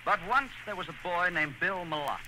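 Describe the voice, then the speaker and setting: Guy narrating old radio drama, earnest, slightly high voice, noisy recording. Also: lively intonation, moderate pace. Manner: earnest, theatrical.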